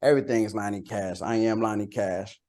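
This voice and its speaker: Low-voiced man chatting casually. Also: dark tone. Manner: slangy, halting. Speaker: rapper being interviewed. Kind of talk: casual chat.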